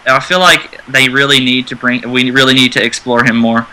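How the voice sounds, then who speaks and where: A man's voice: mid-range voice, fairly even intonation, normal speed, sharp tone, poor audio. A man co-hosting a casual chat show, speaking emphatically with a self-deprecating streak. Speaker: show co-host.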